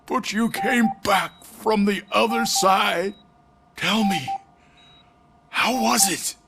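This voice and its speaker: A man playing a frail, dying old corporate boss in a video game, with a theatrical, halting delivery. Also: sits high, noisy background. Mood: awed, anxious. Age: older.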